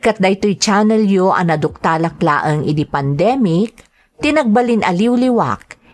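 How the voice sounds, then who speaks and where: Ilocano woman narrator with a swooping, lively delivery. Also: lowish voice. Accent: Filipino, Ilocano. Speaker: radio drama host and narrator. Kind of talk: reading greetings and a listener's letter.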